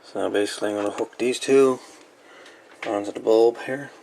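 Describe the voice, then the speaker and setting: Gravelly man talking through a repair. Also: on the low side, fairly even intonation, mellow, a little hiss or hum, rough recording. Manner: halting, folksy, colloquial grammar. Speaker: repair video host. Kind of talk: casual hands-on repair walkthrough. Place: indoor electronics workbench.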